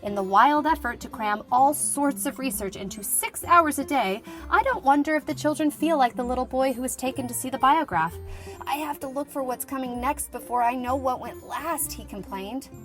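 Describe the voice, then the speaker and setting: A woman playing a lively young schoolteacher reading out her letter in a conversational, wryly humorous way, in an acted audiobook. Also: high-pitched, normal speed. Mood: wry griping. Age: young adult. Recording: music playing behind the voice.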